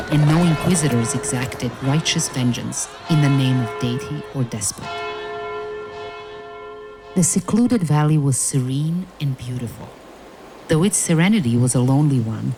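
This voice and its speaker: A woman narrating a fairy-tale audio play in a measured, solemn storytelling manner. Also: very deep voice, darkish tone. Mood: wistful. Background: music.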